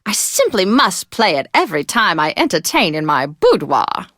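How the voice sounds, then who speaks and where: A woman's voice: on the low side, sing-song, normal speed, fairly bright tone, no echo, studio quality. An actress playing a flamboyant, martini-loving lady DJ on a classical radio station in a video game, with a theatrical, gushing, old-fashioned manner. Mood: dreamy, gushing. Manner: theatrical, affected, gushing, old-time slang and endearments. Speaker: radio host.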